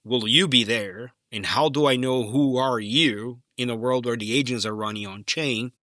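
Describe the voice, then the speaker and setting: Bright-voiced male podcast host. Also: mid-range voice, moderate pace, no room sound, clean studio sound. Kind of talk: casual interview chat.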